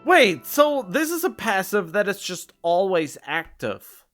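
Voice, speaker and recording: A man's voice: sits high, big pitch swings, unhurried, fairly bright tone, soft background music. A man giving casual gameplay commentary as a streamer, musing to himself in a rambling way. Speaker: game streamer.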